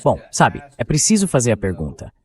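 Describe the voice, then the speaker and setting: Male host, Brazilian accent, medium pitch, AI dub of conversational political commentary. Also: wildly swooping pitch, a little fast, darkish tone. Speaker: interview host. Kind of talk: AI-voiced translated conversational commentary.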